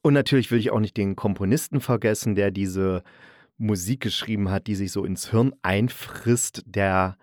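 A man's voice: on the low side, moderate pace, darkish tone, dry recording. Casual man reviewing, dry close sound. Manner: colloquial, enthusiastic, emphatic repetitions. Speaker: podcast host reviewing radio plays. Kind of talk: casual spoken review monologue.

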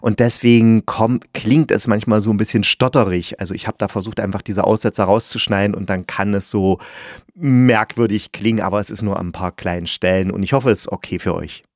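A man's voice: lowish voice, steady tone, a little fast, no room sound, studio quality. A man hosting an interview podcast in an informal, chatty way, often correcting himself as he goes. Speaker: podcast host and radio drama critic. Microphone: close mic in a remote online call.

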